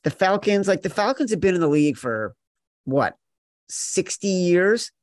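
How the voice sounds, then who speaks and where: A man with a fairly high voice hosts a sports betting podcast. He talks with emphatic, mock-exasperated teasing, in a close, dry recording. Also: big pitch swings, a little slow, dry recording. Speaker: podcast host.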